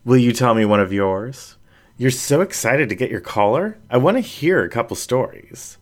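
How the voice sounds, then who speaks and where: A man voicing a playful adult female animal character in an audiobook, with an animated, confiding storytelling manner. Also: mid-range voice, normal speed, close to an everyday voice. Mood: excited, teasing. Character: playful adult female anthropomorphic animal sharing personal anecdotes.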